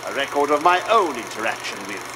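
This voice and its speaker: An actor playing a pompous British gentleman explorer, theatrically narrating his jungle film like an old-fashioned documentary. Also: medium pitch, sing-song, brisk, natural voice. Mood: pompous, self-important. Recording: noisy old film reel with projector hum.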